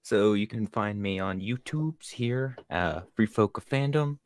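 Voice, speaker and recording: A man's voice: fairly low pitch, unhurried, dry recording. A man on a podcast panel, joking in a laid-back way during casual chat.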